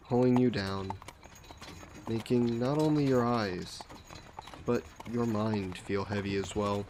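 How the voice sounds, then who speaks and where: A man playing a suave, possessive vampire lord in an intimate fantasy audio roleplay, speaking softly and coaxingly with condescending affection, as if hypnotizing a captive. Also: on the low side, a little hiss or hum. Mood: soothing, hypnotic. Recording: steady background carriage and rain ambience. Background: horse-drawn carriage and rain sound effects.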